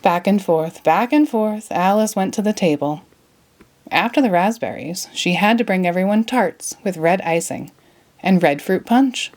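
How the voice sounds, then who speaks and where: A woman reads a children's storybook aloud. Her narration is expressive, with pitch that rises and falls widely through each sentence. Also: measured pace.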